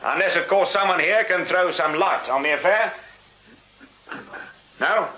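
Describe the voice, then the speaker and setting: Commanding Victorian police sergeant, forceful edge. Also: male voice, high voice, measured pace, muffled recording. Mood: stern, urgent. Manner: authoritative, formal, insistent. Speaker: actor in detective radio drama. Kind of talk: full-cast radio drama acting.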